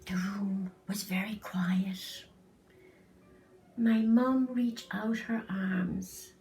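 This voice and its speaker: A woman reading a children's story aloud in an expressive, dramatic way. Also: mid-range voice, soft background music. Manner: slow, dramatic reading with hushed and emphatic phrases. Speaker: story reader.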